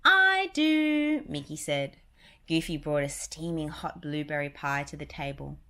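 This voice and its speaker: A woman's voice: low voice, little pitch movement, normal speed. A woman narrating a children's picture book, reading it aloud as a story.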